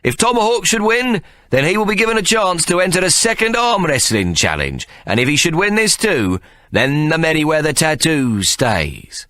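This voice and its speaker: A man's voice: on the high side, very animated, normal speed, a bit bright, no echo, voice pushed up. A man voicing a sly, scheming male showman who announces grandly and wheedles. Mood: grand announcing.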